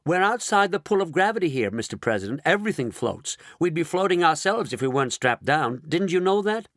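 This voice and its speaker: An excitable astronaut with a high, cheeky voice that leaps around, played by a man reading a story. He talks fast and mouthy, a brash storybook space pilot. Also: no echo, studio quality. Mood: excited. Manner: excited, cocky, high-pitched. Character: excitable male astronaut, adult.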